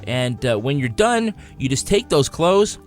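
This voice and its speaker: A man's voice: medium pitch, very animated, a little slow, faint music behind. A man co-hosting a podcast, talking in a casual, conversational and informal way. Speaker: podcast co-host. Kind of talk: casual panel review and host-read ad banter.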